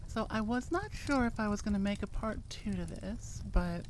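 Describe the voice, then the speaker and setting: A woman's voice: medium pitch, animated, normal speed, a little hiss or hum. A woman audio creator talking casually and playfully to her listeners in an informal outro.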